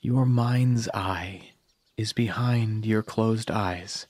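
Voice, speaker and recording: A man narrating a scripted guided meditation in a calm, soothing manner with long pauses. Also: lowish voice, no room sound.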